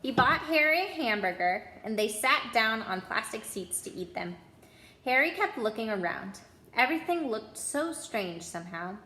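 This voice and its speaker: A woman storyteller reading a children's storybook aloud in expressive narration. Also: a little high, moderate pace, reverberant.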